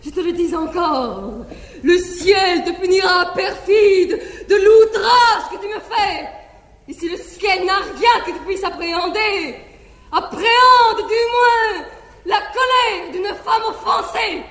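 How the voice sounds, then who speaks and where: An actress playing a proud, wronged noble wife who declaims with bitter irony in a classical stage drama, on an old live theatre recording. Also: female voice, very high-pitched, slow pace, a bit bright, roomy sound, big-room sound, heavily acted. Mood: vengeful.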